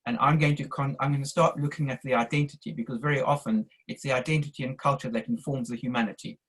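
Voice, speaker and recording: An older man with a South African accent speaks over a video call. His voice is husky, and he talks in a measured, earnest way. He sounds like he is giving firm advice. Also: mid-range voice, fairly even intonation, poor audio. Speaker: audience member asking a question. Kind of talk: online discussion statement.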